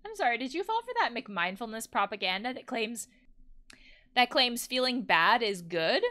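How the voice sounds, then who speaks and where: Woman reading as swaggering, high-pitched reckless party friend. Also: very animated, normal speed, pushed and bright. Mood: goading, ranting. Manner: goading, emphatic, theatrical reading. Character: reckless, swaggering, foul-mouthed young party-going friend.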